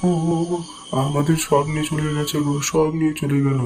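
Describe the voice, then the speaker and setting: A man voicing a village husband in a cartoon, an excitable fellow who exclaims and repeats himself. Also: on the high side, brisk, slight background noise, lightly acted. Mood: frightened, pleading. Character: anxious, pleading village milkman and husband. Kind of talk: cartoon voice acting. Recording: music clearly behind the voice.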